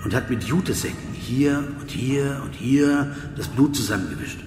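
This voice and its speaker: An actor playing a dogged private detective in a radio crime drama, probing and sardonic. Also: male voice, mid-range voice, moderate pace, darkish tone, faint music behind, lightly acted. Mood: brisk, investigative.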